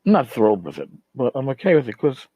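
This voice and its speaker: A man's voice: medium pitch, wildly swooping pitch, fast, husky, no room sound, muffled recording. A middle-aged man talks as a podcast host in a loose, rambling monologue. He is blunt and exasperated, swearing freely as he vents. The sound is dry, with very little room in it. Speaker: tabletop gaming podcast host. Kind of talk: casual ranting monologue.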